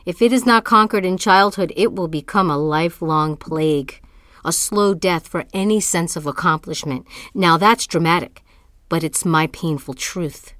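A woman's voice: lowish voice, a bit bright, dry recording, clean studio sound, natural voice. An actress playing a tormented, self-doubting woman artist in a theatrical, poetic spoken-word monologue. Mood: weary, bitter. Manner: dramatic, poetic, deliberate.

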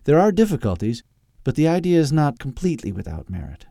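A man voicing a reserved, polite schemer in an audiobook, formal and measured, with a strained courtesy. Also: on the high side, very animated, vocal fry, dark tone, dry recording, clean studio sound, voice pushed up. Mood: reluctant.

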